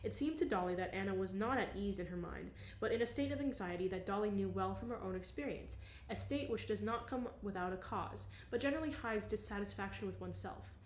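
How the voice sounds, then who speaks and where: A woman's voice: medium pitch, a little fast, few pauses, a little hiss or hum, poor audio. A young woman reading a novel aloud, with casual, chatty asides in between. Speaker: audiobook reader.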